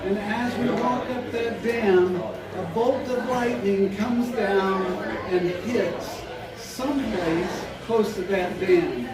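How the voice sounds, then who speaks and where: An unhurried storyteller lays out a personal tale plainly and deliberately. The voice comes from afar in an echoing bar, and a loud audience fills the room. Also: mid-range voice. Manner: slow, deliberate, matter-of-fact. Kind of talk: personal story told on stage. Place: bar venue with a live audience. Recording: roomy echo from a distant mic with loud crowd.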